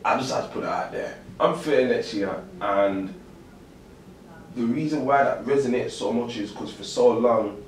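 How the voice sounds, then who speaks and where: A man's voice: medium pitch, normal speed. British man in his twenties, echoey room. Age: 20s. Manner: agreeable, many "mm"s, slang-heavy. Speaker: podcast host. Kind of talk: casual podcast chat. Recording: echoey room, mic at a distance, faint noise.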